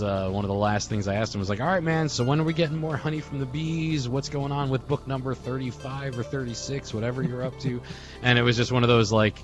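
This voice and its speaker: A man speaking casually in a group remembrance, telling rambling stories as a podcast host. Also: medium pitch. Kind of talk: casual reminiscing in a group memorial call. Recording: soft guitar music behind. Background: guitar music.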